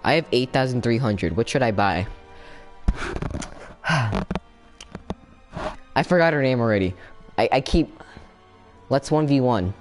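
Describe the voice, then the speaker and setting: A young man chatting casually while he streams a fighting game, excitable, with sudden yelps and laughs. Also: medium pitch, slow, pauses often, faint music behind. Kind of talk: casual gameplay commentary. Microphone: no camera, desk mic.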